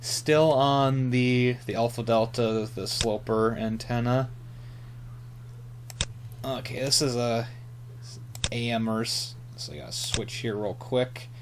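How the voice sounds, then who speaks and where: A man's voice: medium pitch, fairly even intonation, slow, pauses often. A man giving a casual, off-the-cuff hobbyist demonstration, hesitant and often muttering to himself as he thinks aloud. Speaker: amateur radio hobbyist demonstrating antennas. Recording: clear background hum and noise.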